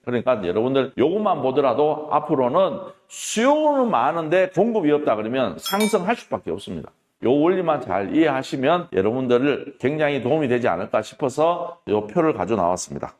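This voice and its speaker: A man's voice: mid-range voice, sing-song, fairly quick, long reverb tail. A middle-aged man with a stuffy nose from a cold, giving an emphatic lecture-style talk as a real estate auction mentor, full of rhetorical questions. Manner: emphatic, rhetorical questions, ends points with "that is what I mean".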